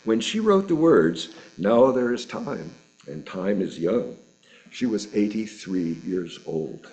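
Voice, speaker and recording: Male sermon reader, relaxed dark tone on the lower side, measured scripted delivery. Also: rough recording. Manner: measured, deliberate phrasing with pauses. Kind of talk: sermon read from a written script.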